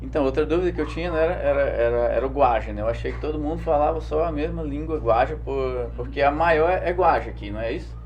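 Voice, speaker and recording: Relaxed Brazilian man interviewing, halting. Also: on the high side, highs cut off, slight background noise. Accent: Brazilian Portuguese. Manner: relaxed, halting, many restarts and fillers. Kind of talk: casual interview.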